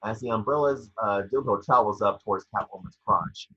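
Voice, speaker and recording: A man reading scene descriptions as the narrator of a scripted table read, with a husky voice. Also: on the low side, a little fast, sharp tone, lo-fi sound. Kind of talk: scripted narration of screenplay action.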